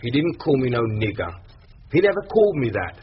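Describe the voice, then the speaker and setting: An actor playing a stubborn, resigned young male defendant in a British radio drama, blunt and colloquial, with a husky voice. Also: medium pitch, big pitch swings, brisk, lo-fi sound, slight background noise, close to an everyday voice. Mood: defensive. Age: young adult. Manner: colloquial, blunt, non-standard grammar.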